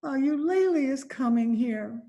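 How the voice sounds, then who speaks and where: A woman's voice: sits high, lively intonation, unhurried, warm tone, muffled recording. A woman playing a faded, despairing former Broadway dancer and mother from Spain in an amateur radio drama, speaking with bitter drama. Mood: bitter, anguished. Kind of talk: amateur old-time radio drama acting. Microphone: video call recording.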